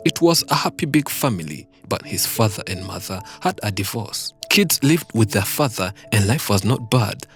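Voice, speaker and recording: Low-voiced male documentary narrator. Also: lively intonation, normal speed, hardly any pauses. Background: background music.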